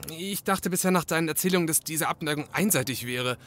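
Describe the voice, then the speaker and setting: An actor playing an excitable, chatty detective's assistant in a comedy audio drama. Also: male voice, a little high, quick pace, lightly acted. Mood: relieved, chatty.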